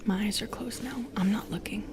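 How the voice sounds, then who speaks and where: A woman playing a lonely, wounded and wary Medusa in an intimate audio roleplay, speaking hesitantly and softly, often dropping into a whisper. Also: medium pitch, background noise, close to an everyday voice. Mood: guarded, cautious. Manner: hesitant, halting, soft and breathy.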